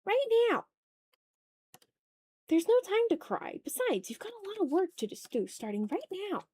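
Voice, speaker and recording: A woman's voice: extremely high pitch, big pitch swings, moderate pace, a little mellow. A woman chatting casually on an art livestream while drawing, talking to her viewers in an informal, joking, mildly sweary way. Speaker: livestream host, illustrator.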